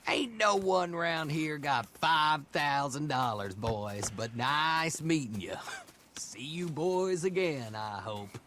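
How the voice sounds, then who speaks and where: An actor playing a chatty, folksy, teasing rural horse dealer with an American Southern accent. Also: male voice, a little high, expressive, slow pace, sharp tone, slight background noise, full character voice. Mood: sly haggling. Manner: garrulous, folksy, sly haggling.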